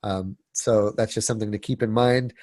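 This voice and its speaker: A man's voice: on the low side, fairly even intonation, moderate pace, darkish tone, dry recording. A man presenting a webinar, stuffy and sniffling from a cold, talking casually with many fillers and restarts. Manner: filler-heavy, frequent restarts and repeated words.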